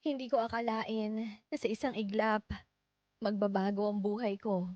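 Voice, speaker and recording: A Filipino woman narrating a radio drama as a sorrowful bereaved young wife, in a measured, mournful way. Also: medium pitch, fairly even intonation, a little mellow, no room sound, lo-fi sound, lightly acted. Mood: mournful, remorseful. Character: sorrowful bereaved wife recounting her loss. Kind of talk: scripted dramatic first-person narration. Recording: prominent music bed under narration. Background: music.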